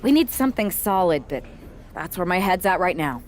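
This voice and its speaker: A woman's voice: fairly low pitch, sing-song, normal speed, a little hiss or hum. A woman playing a guarded team-leader wrestler in a video game, speaking in a casual, sardonic way. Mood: thoughtful. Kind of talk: scripted video game cutscene dialogue.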